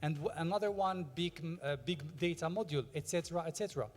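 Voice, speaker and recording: French-accented man pitching in a hall. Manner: halting, searching for words. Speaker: fintech founder. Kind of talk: stage pitch speech.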